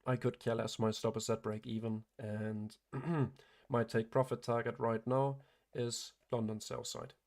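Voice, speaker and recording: Male trading streamer, hoarse and gravelly, flat matter-of-fact delivery, frequent throat clearing. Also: medium pitch, unhurried. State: hoarse, losing his voice. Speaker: day trader hosting a livestream. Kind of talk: live trading commentary.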